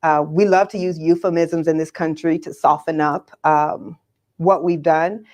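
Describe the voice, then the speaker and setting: A woman giving explanatory commentary in conversation, articulate and emphatic, with measured pauses. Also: sits low, steady tone, darkish tone.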